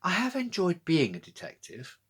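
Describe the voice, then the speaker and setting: A plucky young schoolgirl from a children's storybook, acted out by a man. The pitch is lifted and swings about, with an earnest, urgent delivery that gets straight to the point. The voice has a slightly husky edge. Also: huge pitch swings, moderate pace, exaggerated voice. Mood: thoughtful.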